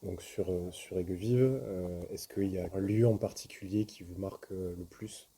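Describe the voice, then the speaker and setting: A man interviewing a couple, asking halting, gentle prompting questions. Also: sits low, fairly even intonation, breathy edge.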